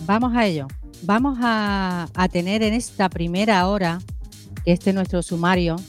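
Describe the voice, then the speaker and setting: A man's voice: high voice, moderate pace, soft background music. A man co-hosting a radio programme, introducing segments and putting questions to guests. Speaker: radio co-host.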